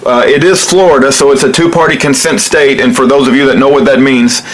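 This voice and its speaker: A man's voice: medium pitch, fairly even intonation, a little fast, poor audio. A man speaking in an earnest, polite and pleading way, like someone leaving an appeal by phone. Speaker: activist making and sharing a phone appeal.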